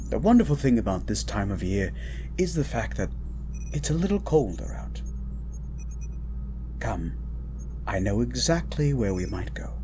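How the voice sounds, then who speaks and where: A man's voice: mid-range voice, noisy background. A man voicing a courtly, bookish mutant scientist beast in a romantic audio drama, speaking tenderly with eloquent, theatrical phrasing.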